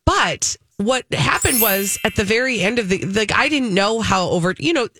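A woman's voice: medium pitch, lively intonation, moderate pace, fairly bright tone, a little hiss or hum. An American woman co-hosting a radio show, telling stories in a casual, chatty and emphatic way. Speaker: fill-in radio co-host.

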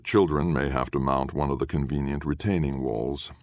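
Guy reading a short story, super low voice, solemn and deliberate, dry close sound. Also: a little mellow, no room sound. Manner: measured, deliberate, solemn delivery. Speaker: audiobook narrator. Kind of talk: scripted literary fiction narration.

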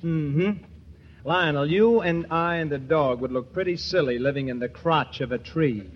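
A man's voice: a little high, normal speed, natural voice. A man playing the easygoing, wisecracking lead of a 1940s radio comedy. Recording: noisy, dull old radio recording.

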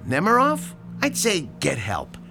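A man's voice: a little high, huge pitch swings, a little slow, vocal fry, music in the background, heavily acted. An actor playing a grouchy, sarcastic male landlord who grumbles and talks bluntly, in an audio drama. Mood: musing.

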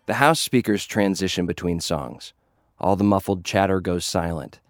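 A man's voice: sits low, normal speed, no room sound. An actor playing Danny, a cocky, jaded young American influencer who fights for his followers, narrating an audio drama in a wry, conversational way. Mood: tense narration. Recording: music bed behind the voice.

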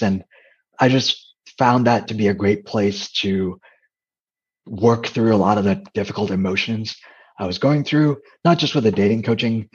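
Soft-voiced man with a husky tone. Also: lowish voice, lo-fi sound. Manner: hesitant, with frequent restarts. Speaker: guest, dating coach and comedian. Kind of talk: reflective interview answers.

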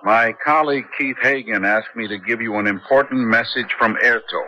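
A man's voice: mid-range voice, normal speed, pushed and bright. An actor playing an easygoing, savvy American male foreign correspondent in a 1970s radio drama. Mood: joking, discreet. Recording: muffled old radio, faint noise.

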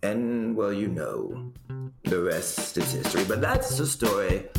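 A man's voice: lowish voice, huge pitch swings, measured pace, vocal fry, loud music behind the voice, exaggerated voice. A man playing an affected, haughty old theatre uncle who tells gossipy stories in a grandiose, dramatic drawl. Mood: grand, confiding. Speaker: interview guest, acting teacher. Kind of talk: theatrical storytelling in an interview.

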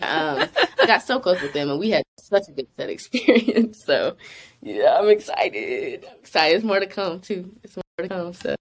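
A woman's voice: on the low side, very animated, normal speed. A young woman, an actress, chatting casually in an interview, laughing often and filling her talk with "like". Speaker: actress guest.

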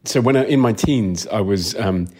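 Lower-voiced man, thoughtful interview guest. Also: normal speed, a little mellow, husky. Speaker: film sound designer being interviewed. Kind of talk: reflective interview answers.

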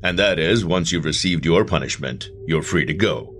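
A man voicing a cool afterlife agent, very deep. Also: moderate pace, a bit bright, soft background music. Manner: cool, matter-of-fact, faintly mocking.

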